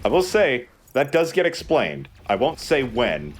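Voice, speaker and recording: A man's voice: mid-range voice, huge pitch swings, measured pace, a little hiss or hum. A man co-hosting a let's play, casually explaining the game in a joking, conversational way. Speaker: let's play co-host, gamer. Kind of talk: casual gameplay commentary.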